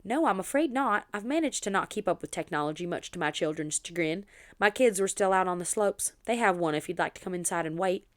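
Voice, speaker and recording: A woman's voice: lowish voice, animated, a little fast, lightly acted. A woman voicing a sweet but firm elderly lady with a Southern US accent in an audiobook, genteel and apologetic. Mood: kindly, apologetic.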